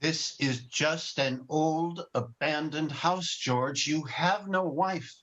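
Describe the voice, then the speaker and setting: A man playing a gentle, earnest elderly guardian angel in an old-time radio drama, over a video call. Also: fairly high pitch, slow. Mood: solemn. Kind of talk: 1940s radio drama acting. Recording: dull video-call audio.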